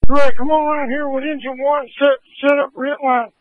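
Very high-pitched firefighter on narrow radio. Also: male voice, level tone, a little fast. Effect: two-way radio. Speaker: firefighter on scene. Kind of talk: terse fire radio traffic. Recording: phone-like narrow-band radio transmission.

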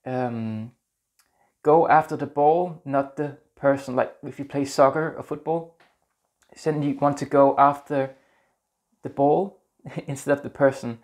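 Gentle Danish-accented man talking to camera. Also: mid-range voice, fairly even intonation. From Denmark. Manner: earnest, gentle, slightly halting with fillers. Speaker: spiritual vlogger. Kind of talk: casual talk to camera. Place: small room indoors. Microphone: lapel mic.

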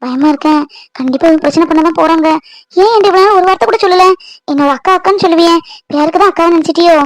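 A woman's voice: very high voice, very fast, dry recording, low-quality recording. A woman voicing a firm, motherly older-sister figure who lectures and reassures a younger woman. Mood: worried. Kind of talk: Tamil domestic audio drama.

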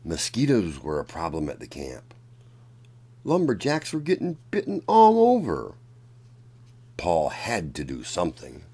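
A man reads a children's picture book aloud in a low voice. His pace is very slow and deliberate, and his intonation rises and falls widely. The delivery is that of a dramatic storyteller addressing young children. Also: huge pitch swings, dark tone, breathy edge. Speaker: children's storyteller and channel host.

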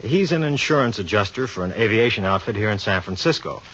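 An actor playing an easygoing, teasing police inspector in a 1950s radio drama, speaking in casual slang. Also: male voice, lowish voice, lively intonation, quick pace, a bit bright, husky. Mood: explaining, easygoing. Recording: muffled 1950s radio recording.

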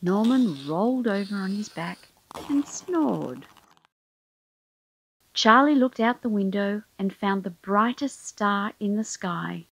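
A woman's voice: mid-range voice, expressive, slow, vocal fry, husky, rough recording. A woman reading a children's picture book aloud as storyteller, expressively, drawing out key words for emphasis.

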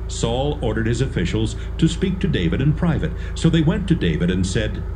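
A man's voice: mid-range voice, big pitch swings, a little fast, noisy background. A man narrating a dramatised Bible story as scripted storytelling. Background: music.

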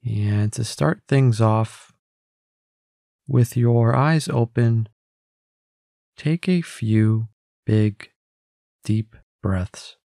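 A man leading a guided meditation in a calm, gentle, unhurried way, giving simple instructions with pauses. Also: medium pitch, vocal fry, no room sound, studio quality. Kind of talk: guided meditation, scripted narration.

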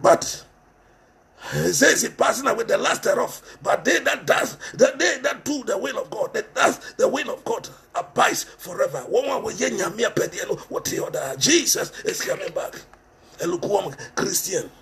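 Ghanaian-accented man preaching, very high voice, gravelly edge, impassioned, repeats phrases, headset mic. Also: sing-song, moderate pace, a bit bright. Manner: impassioned, repeats phrases for emphasis, switches between English and Twi. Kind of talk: sermon. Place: small room indoors.

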